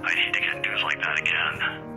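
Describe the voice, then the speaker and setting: A man playing a caring, grieving professor in a visual novel, speaking in a measured, gentle, solemn way. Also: a bass voice, fairly even intonation, music in the background, low-quality recording. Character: caring older male professor, grieving widower.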